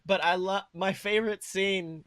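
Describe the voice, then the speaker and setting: A man with a high, bright voice chats as a podcast co-host. He is animated and jokes crudely in a loose, casual way. The sound is close and dry. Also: moderate pace, no echo. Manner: animated, crude joking, swears freely. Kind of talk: casual comedic podcast banter.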